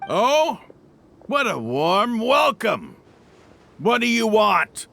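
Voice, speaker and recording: An actor playing a brash, scornful mercenary gang leader in a video game, with a gravelly voice and a theatrical, taunting drawl. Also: male voice, sits high, big pitch swings, extremely slow, bright, forceful tone, exaggerated voice. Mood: mocking. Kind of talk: video game villain acting.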